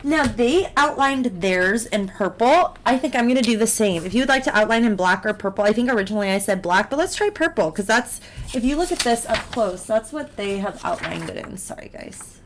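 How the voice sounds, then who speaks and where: A woman teaching art in a casual step-by-step drawing tutorial, encouraging and unhurried as she talks through each step while she works. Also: fairly high pitch, animated. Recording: clear background noise, drawing sounds.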